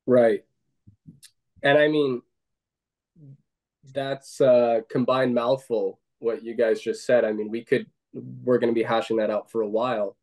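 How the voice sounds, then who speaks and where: A man's voice: mid-range voice, fairly even intonation, measured pace, long pauses, darkish tone, rough recording. Chatty man talking through ideas. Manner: conversational, frequent "right?" and "I mean". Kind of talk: casual discussion.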